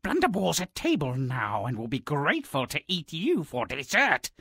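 A woman's voice: low voice, huge pitch swings, moderate pace, a bit bright, slightly rough, no room sound, clean studio sound, exaggerated voice. A woman voicing a haughty, cunning giant's wife, theatrical and scolding, in a cartoonish game. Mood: menacing. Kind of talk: cartoonish video game acting.

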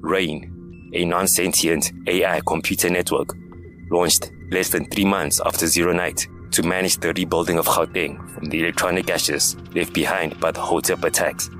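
A man's voice: low-pitched, steady tone, normal speed, fairly bright tone. A man giving scripted, dramatic narration for a science fiction audio drama. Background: music.

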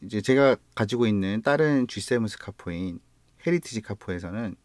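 Man with a Gyeongsang accent, low and dry. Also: no echo. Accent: Gyeongsang Korean. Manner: relaxed, explanatory, slightly halting with self-corrections. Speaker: guitar gear reviewer. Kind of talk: casual product review vlog.

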